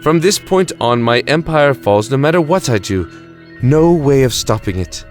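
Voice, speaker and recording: A man's voice: mid-range voice, expressive, moderate pace, faint music behind, close to an everyday voice. A man playing a weary, grandiose time-traveling alien emperor in an audio drama, self-justifying and reflective. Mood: weary, resigned.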